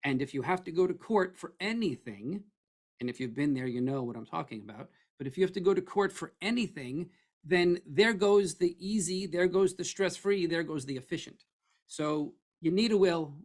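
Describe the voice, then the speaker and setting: A middle-aged man with a hoarse, froggy voice, presenting an estate planning webinar in a conversational way that keeps checking in with "okay?". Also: on the high side, lively intonation, moderate pace. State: hoarse, losing his voice.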